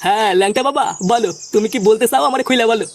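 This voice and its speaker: A performer voicing a bossy, blustering older village headman in a comedy cartoon, scolding and swearing in rural Bengali dialect, with a pitch-shifted effect. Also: racing. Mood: probing. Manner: pompous, scolding, foul-mouthed.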